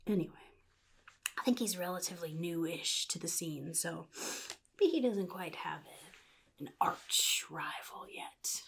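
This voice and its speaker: A woman playing a sly, chaotic supervillain in a soft-spoken ASMR roleplay, often dropping into a breathy whisper with a casual, menacing drawl. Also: fairly low pitch, lively intonation, unhurried, slight background noise, full character voice. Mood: sly, musing. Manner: casual drawl, offhand, slyly threatening.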